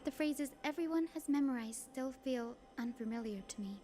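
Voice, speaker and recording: An actress playing a gentle, modest young woman healer in a video game, speaking politely and earnestly. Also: high-pitched, normal speed, slight background noise, lightly acted. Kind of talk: scripted game voice acting. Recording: music behind the voice. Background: background music.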